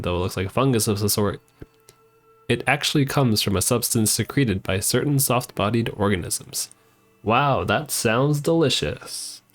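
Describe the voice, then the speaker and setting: A man streaming a game, giving casual live commentary in a laid-back way and reading text from the screen. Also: lowish voice. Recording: game music behind the voice.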